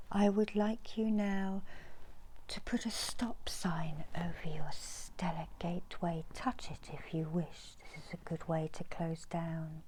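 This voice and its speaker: A middle-aged woman leading an ASMR guided meditation in a soft, breathy, mostly whispered voice with long soothing pauses. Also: lowish voice, slight background noise. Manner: slow, hushed, soothing delivery with long pauses. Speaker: reiki healer and guided meditation host. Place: small indoor room.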